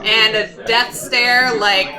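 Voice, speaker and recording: A woman's voice: a little high, fairly even intonation, slow. A woman describing and acting out her character at a tabletop roleplaying game, blunt, sardonic and sweary. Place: large hall at a gaming convention. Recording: live hall with clear crowd noise.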